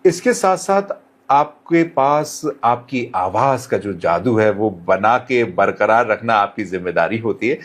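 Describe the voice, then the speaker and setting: A man who works as a radio presenter, answering interview questions in measured, courteous, formal phrasing with English words mixed in. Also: fairly low pitch, animated. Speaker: radio presenter, podcast guest.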